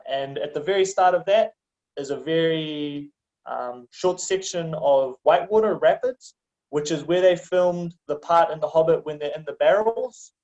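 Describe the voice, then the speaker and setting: A young man from New Zealand with a New Zealand accent, chatting casually as an interview guest, relaxed and quick to laugh, with plenty of ums. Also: a little high. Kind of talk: casual conversation. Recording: dull lo-fi audio.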